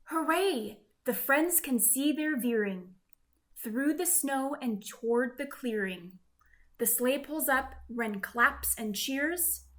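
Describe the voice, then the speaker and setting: A woman reads a rhyming picture book aloud for children. Her voice is fairly high, and she takes her time with an expressive, measured storyteller's delivery.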